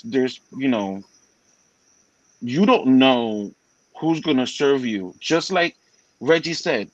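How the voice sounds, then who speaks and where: A man talking earnestly in a casual group chat, pausing and restarting often. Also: medium pitch, no room sound, muffled recording. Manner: halting, many restarts, earnest. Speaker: guest.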